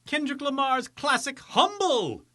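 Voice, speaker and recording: A man's voice: very high voice, very animated, measured pace, sharp tone. A man presenting in a mock-formal, grand scripted announcing manner. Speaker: presenter introducing readings.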